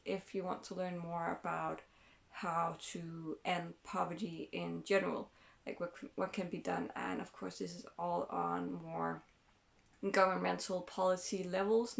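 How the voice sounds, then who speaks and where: A woman from Denmark talks slowly and thoughtfully in a casual vlog, often pausing to search for words. Her voice sits a little low and has a gravelly, creaky edge. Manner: thoughtful, hesitant, many fillers and restarts. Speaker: channel host.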